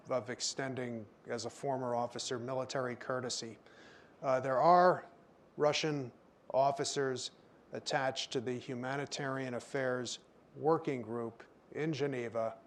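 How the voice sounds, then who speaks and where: A man's voice: medium pitch, slight background noise. A man moderating a public panel, speaking emphatically and often restarting his phrases. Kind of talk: formal panel remarks.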